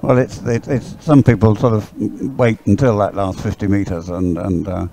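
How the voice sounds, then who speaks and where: A man giving conversational live sports commentary in a hesitant, halting way, often repeating his words. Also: fairly low pitch, fairly even intonation, gravelly, warm tone. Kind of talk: live dragon boat race commentary, conversational. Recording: live sports coverage, crowd in background.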